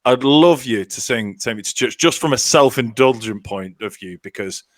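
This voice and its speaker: Guy hosting a podcast, excited, trips over his words, mid pitch. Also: normal speed. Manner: effusive, stammering enthusiasm. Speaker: podcast host. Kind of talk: casual chat.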